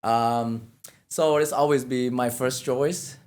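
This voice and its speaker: Man, Vietnamese accent, native Vietnamese speaker, mid-range voice, earnest, halting and repetitive, casual podcast guest. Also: mid-range voice, steady tone, darkish tone. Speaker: interview guest, real estate agent.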